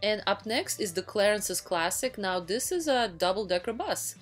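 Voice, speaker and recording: A woman's voice: medium pitch, lively intonation, moderate pace. A woman in her thirties narrating a travel vlog in a casual, enthusiastic voice-over, with frequent fillers and restarts. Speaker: travel vlogger. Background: faint background music.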